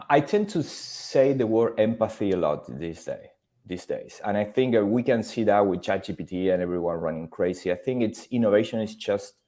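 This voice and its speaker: Low-voiced man in an interview. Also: a little mellow. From Argentina. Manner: thoughtful, with frequent fillers. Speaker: podcast guest. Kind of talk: casual interview talk.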